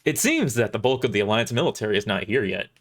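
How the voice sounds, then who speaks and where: A man's voice: lowish voice, animated, fast, fairly bright tone. A man voicing a male companion in an audio story, speaking in an urgent, businesslike manner. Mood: urgent, serious.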